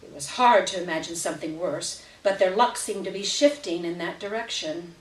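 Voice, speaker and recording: Low-voiced woman reading fiction in a roomy space. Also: normal speed. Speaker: reader of a short story at a live event. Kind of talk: live literary reading, scripted narration. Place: indoor venue, live stage reading. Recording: roomy echo, distant mic at live event.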